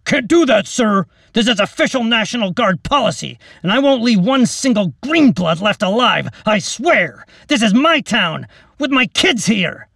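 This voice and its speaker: A man narrator voicing a defiant, hard-line soldier who speaks in a loud, clipped way. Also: high-pitched, sing-song, normal speed, gravelly, dry recording, studio quality, voice pushed up, exaggerated voice.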